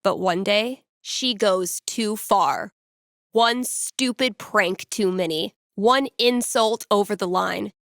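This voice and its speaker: A woman's voice: mid-range voice, slow, gravelly, a bit bright, no echo, clean studio sound. A woman playing a witty young small-town radio DJ in a video game, with an American accent and a playful, conversational delivery. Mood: storytelling, earnest. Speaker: radio host. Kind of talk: casual local radio announcements, video game voice acting.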